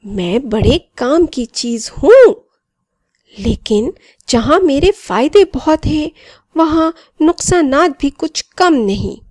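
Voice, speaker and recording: A woman voicing a friendly, boastful talking mobile phone that narrates and moralizes to children in an expressive storybook style. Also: sits high, no echo, clean studio sound. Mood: proud, instructive.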